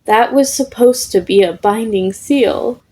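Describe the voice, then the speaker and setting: A woman reading the part of an exasperated, dry male teacher in a story, muttering and deadpan. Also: on the high side, unhurried, pitched above the performer's own voice. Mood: weary, deadpan.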